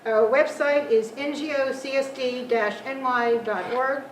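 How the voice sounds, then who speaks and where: A woman's voice: high-pitched, steady tone, measured pace, slight background noise. A woman delivering a formal prepared speech at a conference, reading steadily from her text. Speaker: panel speaker. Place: large conference room. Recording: echoey conference room, distant mic.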